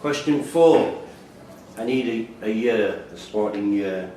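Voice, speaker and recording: Male quiz master, measured and terse, recorded at a distance in a boomy, reverberant room. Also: warm tone. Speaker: quiz master reading questions. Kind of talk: live quiz question reading. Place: indoor room at a quiz tournament. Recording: echoey room, distant mic, faint noise.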